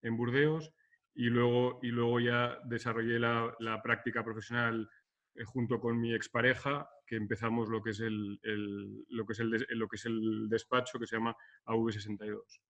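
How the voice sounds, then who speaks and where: A man's voice: mid-range voice, level tone, moderate pace, a bit bright. A Basque architect in his fifties, speaking hesitantly with many restarts in a video-call interview. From Basque Country, Spain. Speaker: architect guest. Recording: dull lo-fi video-call audio.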